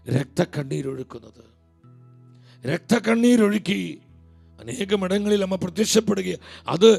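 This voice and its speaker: A male preacher delivers an impassioned sermon in a high, gravelly voice. He swings between loud declaiming and hushed pleading, and faint music plays underneath.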